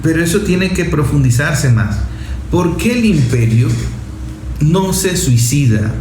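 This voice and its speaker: A man's voice: on the high side, lively intonation, unhurried, a little mellow. A man with a Venezuelan accent giving impassioned political commentary, insistent and repetitive, driving points home with rhetorical questions. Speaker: journalist and speaker. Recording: echoey room with faint noise.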